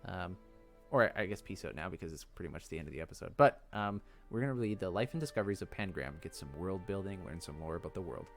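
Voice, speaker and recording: Low-voiced man, lively gaming commentary. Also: quick pace, few pauses, faint music behind. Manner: thinking aloud. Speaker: gaming video host. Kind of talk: casual let's play commentary.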